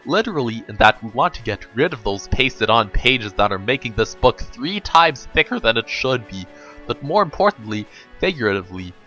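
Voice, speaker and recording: A man's voice: medium pitch, sing-song, normal speed, bright, forceful tone, lo-fi sound, voice pushed down. Storybook girl leader, played by a man: professorial, earnest, emphatic, swinging delivery with music behind. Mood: lecturing.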